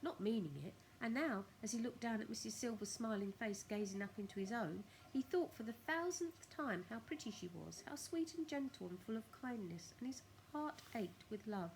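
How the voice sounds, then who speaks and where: Female primary school storyteller: bright expressive reading, swooping intonation, careful dramatic phrasing, slight noise behind. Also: a little high, moderate pace, hardly any pauses, rough recording. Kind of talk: expressive children's story narration.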